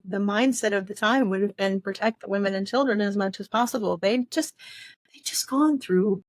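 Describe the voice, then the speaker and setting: A woman's voice: mid-range voice, moderate pace, no room sound. Emphatic woman in casual discussion, close dry sound. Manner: emphatic, repeats points for stress. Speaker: podcast co-host.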